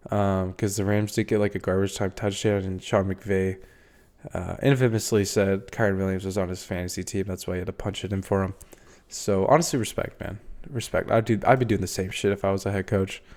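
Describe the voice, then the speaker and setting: Young male podcast host, stuffy nose, lower register, loose filler-heavy sports chat. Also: fairly even intonation, brisk, a little mellow. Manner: rambling, filler-heavy, swears casually. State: congested. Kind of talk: casual solo sports talk.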